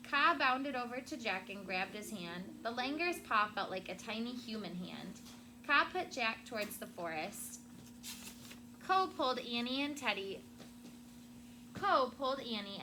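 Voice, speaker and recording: Casting: female elementary teacher, higher-pitched, expressive read-aloud with simple explanations, noisy recording. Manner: expressive reading, child-friendly explaining. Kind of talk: read-aloud storytelling to children, with questions and vocabulary asides. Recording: loud background noise.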